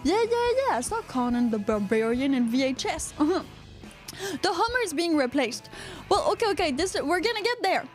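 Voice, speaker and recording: A woman's voice: high voice, big pitch swings, moderate pace, soft background music. A woman from Quebec with a Quebecois accent, co-hosting a casual live podcast, rambling and joking as she reads out chat comments. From Quebec, Canada. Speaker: podcast co-host.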